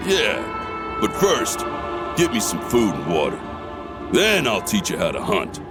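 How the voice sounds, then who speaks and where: Brusque streetwise mentor, lively swings. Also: male voice, lowish voice, unhurried, exaggerated voice. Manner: brusque, cocky. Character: gruff, streetwise young man mentoring a kid. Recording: loud music behind the voice.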